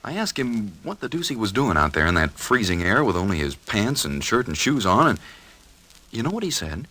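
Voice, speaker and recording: Breezy, bright, animated husband. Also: male voice, fairly low pitch, a little fast, full character voice. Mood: puzzled, relieved. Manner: animated, colloquial. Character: breezy, slangy, joking husband, rattled but easygoing. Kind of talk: 1940s radio acting.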